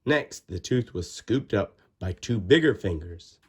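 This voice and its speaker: Male children's storybook narrator, slightly husky, highly animated, expressive and theatrical with dramatic pauses. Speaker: storybook reader.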